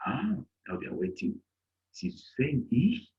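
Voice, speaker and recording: Animated man teaching over a video call. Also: medium pitch, a little slow, lo-fi sound. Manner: animated, acts out little dialogues in a raised voice. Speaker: German language teacher. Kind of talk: online class lesson. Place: indoor room on a video call. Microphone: laptop mic on a video call.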